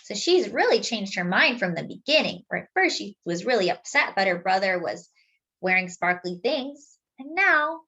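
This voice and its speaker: Slow, expressive woman reading to children. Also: mid-range voice. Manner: deliberate, explanatory for young listeners. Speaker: children's story reader. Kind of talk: expressive picture-book read-aloud with simple asides.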